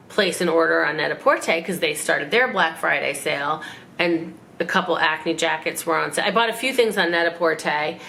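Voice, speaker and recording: A woman in her forties, raspy from a cold, chatting and rambling in a casual haul vlog at home. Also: low voice, a bit bright, a little hiss or hum. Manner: chatty, rambling, self-mocking. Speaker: fashion vlogger. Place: living room at home.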